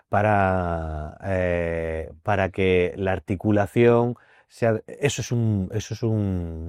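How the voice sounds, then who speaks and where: Low-voiced man with a Murcian accent. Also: a little mellow, smooth, dry recording. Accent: Murcian Spanish. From Murcia, Spain. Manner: thoughtful, circling back to repeat key phrases. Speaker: voice teacher and actor. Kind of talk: podcast interview, explaining.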